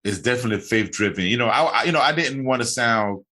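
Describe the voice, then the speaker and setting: A man's voice: mid-range voice, lively intonation, quick pace, bright, forceful tone. A man hosting an interview, talking in a casual, rambling way with many fillers and restarts. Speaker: podcast host.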